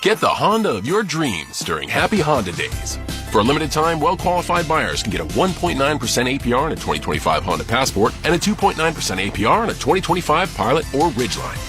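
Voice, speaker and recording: A man's voice: medium pitch, sing-song, quick pace, music in the background. A man reading a scripted radio advertisement as an announcer. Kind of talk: car dealership radio ad read.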